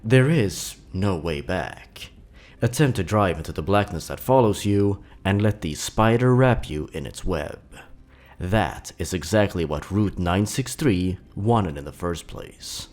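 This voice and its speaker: A man hosting and narrating horror stories in a scripted, deliberate and dramatic storytelling delivery. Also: low voice, animated, darkish tone.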